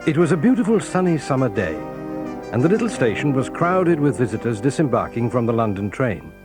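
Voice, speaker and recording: A man narrating a children's audio drama in a measured, expressive storytelling way. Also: medium pitch, over music.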